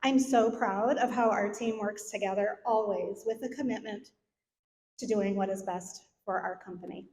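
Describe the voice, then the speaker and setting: A woman's voice: medium pitch, steady tone, moderate pace, a little mellow. A middle-aged woman giving a scripted speech and introduction at an event in a hall. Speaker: event sponsor and speaker introducer. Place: large event hall, on stage. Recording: echoey hall, faint noise.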